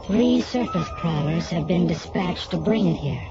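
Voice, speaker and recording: A man playing a dutiful alien aide to a military commander in a science fiction radio drama, formal and measured. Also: sits high, animated, vocal fry, heavily acted. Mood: formal concern. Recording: dull lo-fi recording, loud music behind.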